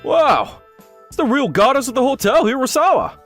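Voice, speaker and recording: An enthusiastic, courteous male hotel cook, voiced by an actor in an anime-style dub. The voice is high for a man and swings very widely, with music beneath. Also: very animated, moderate pace, fairly bright tone, full character voice. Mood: excited. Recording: background music under the voice.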